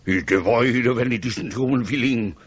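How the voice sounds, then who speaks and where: An actor playing a brooding, grandiose poet with murderous intent, speaking theatrically in a radio drama. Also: male voice, mid-range voice, a little fast, slightly rough, lightly acted. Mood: anguished, dramatic. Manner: theatrical, declamatory. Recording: muffled old radio broadcast.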